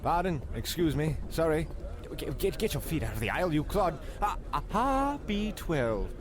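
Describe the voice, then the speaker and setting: A man's voice: a little high, sing-song, normal speed, music in the background. A man playing a pompous, fussy, self-important singing detective in an old-time radio comedy, with noise behind him. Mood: fussy, haughty.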